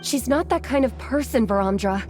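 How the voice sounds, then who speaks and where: A woman's voice: on the high side, animated, moderate pace, a little mellow, faint music behind, lightly acted. A woman voicing a polite, loyal travelling companion in a video game, courteous and earnest.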